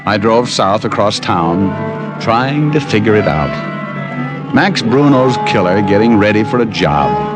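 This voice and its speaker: An actor playing a sardonic private detective in an old radio drama, trading wisecracks and narrating in a hardboiled style. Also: male voice, fairly low pitch, lively intonation, a little slow. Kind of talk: 1950s radio drama acting. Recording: old radio broadcast with music.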